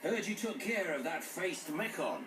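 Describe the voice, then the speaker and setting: Actor as lively, wry older British mercenary. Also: male voice, fairly high pitch, normal speed, natural voice. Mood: wry, teasing. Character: gruff older male mercenary, wry, roguish mentor. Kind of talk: video game cutscene acting. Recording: echoey, distant, noisy game audio.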